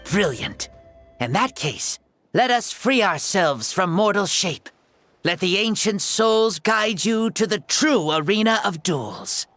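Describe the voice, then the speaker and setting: A woman's voice: mid-range voice, expressive, slow, fairly bright tone. A woman voicing a proud, battle-eager ancient warrior god in a child's body, speaking in a formal, declamatory way for a video game. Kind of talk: dramatic video game voice acting. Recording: background music.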